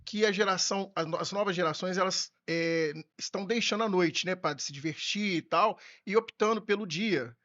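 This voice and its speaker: Brazilian male host, middle-aged, high-pitched voice, casual chat, slightly dull recording. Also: moderate pace, few pauses, fairly bright tone. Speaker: podcast host. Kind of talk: casual podcast conversation.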